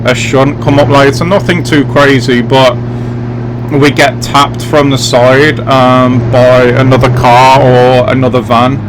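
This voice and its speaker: An English man hosts a gaming video in a casual, rambling way. His delivery is unhurried and his pitch stays fairly flat at a middling height. Vehicle hum and engine noise run clearly behind his voice. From England. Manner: chatty, rambling, addressing viewers directly. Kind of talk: casual gameplay commentary. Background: driving game vehicle sounds.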